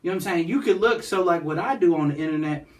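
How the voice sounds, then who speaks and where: A man with a naturally high-pitched voice talks loosely as an interview guest. He rambles and keeps circling back with "you know what I'm saying." The mic sits at some distance from him. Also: rapid-fire. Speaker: guest, nonprofit founder. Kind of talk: casual interview conversation.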